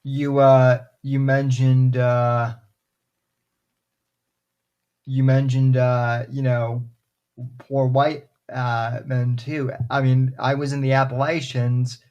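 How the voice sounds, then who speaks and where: A man hosting a podcast in casual chat, talking in a halting way with many pauses and restarts. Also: mid-range voice, flat delivery, a little mellow, muffled recording. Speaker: podcast host.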